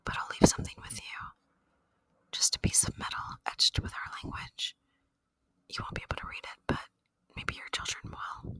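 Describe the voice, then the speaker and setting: An ASMR performer voicing a gentle, lonely ancient alien in a breathy whisper, speaking tenderly and reflectively in a roleplay. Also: moderate pace, no room sound, close to an everyday voice. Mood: wistful, soothing.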